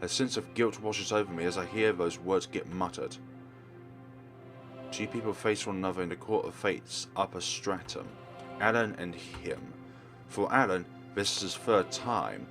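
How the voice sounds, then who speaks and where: A man's voice: sits low, moderate pace. A man narrating a let's play, reading a visual novel aloud as dramatic storytelling. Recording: game music behind voice.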